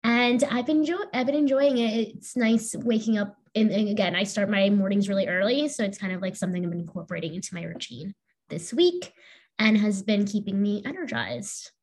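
A woman's voice: mid-range voice, expressive, fairly quick, a little mellow. A young adult American woman chatting casually as a podcast co-host. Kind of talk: casual chat, introducing a guest.

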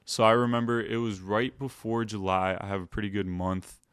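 A young man with a lowish voice that stays quite level and sounds smooth and clean. He tells his story in a laid-back way full of "like" fillers. The sound is dry and close. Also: steady tone, a little fast, fairly bright tone, dry recording. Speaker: guest. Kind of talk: casual storytelling.

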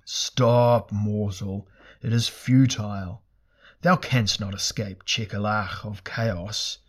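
Guy doing a big old burning god for a fantasy audiobook, loud and low, hungry, bossy, solemn old-timey talk. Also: fairly even intonation, slow pace. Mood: commanding. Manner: archaic, solemn, booming proclamations. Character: huge ancient burning fire god, hungry and imperious. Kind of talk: dramatic fantasy audiobook character voice.

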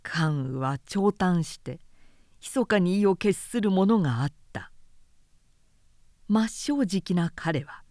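Slow, expressive woman narrator. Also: fairly low pitch, no echo, studio quality. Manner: measured, expressive storytelling. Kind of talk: scripted literary narration.

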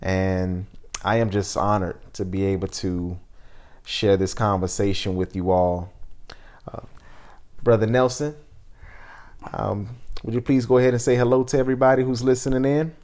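A man in his thirties hosting a reflective interview, speaking in an earnest, deliberate way. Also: on the low side, fairly even intonation, darkish tone. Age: 30s. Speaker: podcast host.

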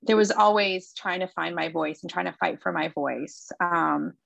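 A woman's voice: lowish voice, normal speed, creaky, fairly bright tone, dull audio. A woman in her thirties from Lebanon, a guest telling her story in a casual interview, earnest, with frequent restarts and fillers. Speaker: guest, entrepreneur.

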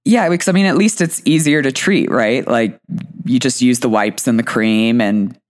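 A woman co-hosting a podcast, hoarse and croaky from a cold, dry and teasing. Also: a bass voice, moderate pace. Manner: dry, teasing, plays along. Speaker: podcast co-host.

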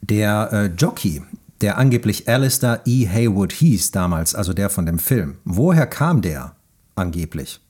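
Husky, mellow male podcast host. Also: fairly low pitch, expressive, measured pace. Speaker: podcast host running a film quiz. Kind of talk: casual film chat.

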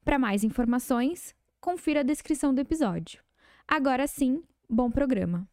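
A woman's voice: sits high, expressive, moderate pace, a little mellow, no room sound, studio quality. A woman with a Brazilian accent reading a scripted podcast introduction as announcer. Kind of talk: scripted narration.

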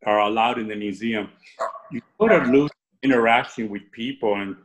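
A man's voice: mid-range voice, normal speed, a little hiss or hum, poor audio. A middle-aged man from Cuba, an artist answering interview questions in a casual, rambling way with many restarts.

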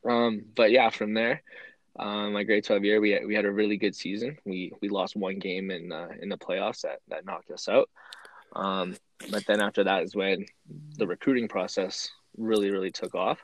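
Young male guest from Canada, low voice, relaxed and thoughtful, dry close mic. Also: normal speed, dry recording. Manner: relaxed, thoughtful, frequent ums. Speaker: guest, former university football player. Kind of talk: interview storytelling.

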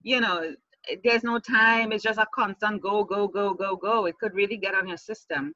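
A woman's voice: medium pitch, steady tone, moderate pace, muffled recording, background noise. A woman from the Caribbean hosting a casual interview, chatty and curious, laughing often. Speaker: podcast host.

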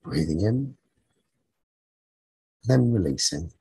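A very breathy, husky man leads a guided meditation for sleep at a slow pace. His tone is soft and mellow, with short soothing phrases set apart by long pauses. The sound is close and dry. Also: fairly low pitch, lively intonation, no echo. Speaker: meditation teacher leading a guided sleep talk-down.

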